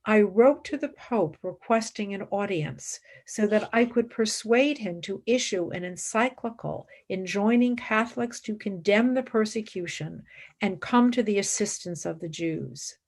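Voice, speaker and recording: A woman's voice: medium pitch, a little mellow. A woman playing a devout, stubborn, impassioned nun and philosopher in a radio play, speaking in an earnest, formal way. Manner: earnest, formal, deliberate, argumentative.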